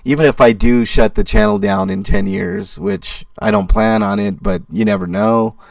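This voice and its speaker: A man hosting a casual livestream, reading viewer comments and answering in a halting way with many fillers and restarts. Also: on the low side, very animated, vocal fry, no room sound.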